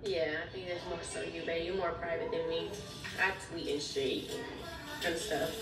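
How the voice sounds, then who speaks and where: A woman's voice: fairly low pitch, fairly even intonation, normal speed. Teasing woman in a roomy, music-filled clip. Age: 20s. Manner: playful, teasing. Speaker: video co-host. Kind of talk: casual couple chat. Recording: roomy clip playing from a distance, loud music.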